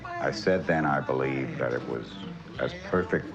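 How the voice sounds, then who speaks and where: A man giving a measured recollection in an interview. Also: extremely low pitch. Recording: muffled audio, music behind.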